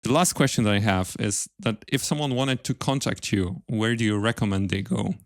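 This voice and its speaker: A man hosting an interview podcast, speaking in a polite, measured way with formal phrasing. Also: on the low side, dry recording, clean studio sound. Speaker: podcast host. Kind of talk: interview, then podcast sign-off.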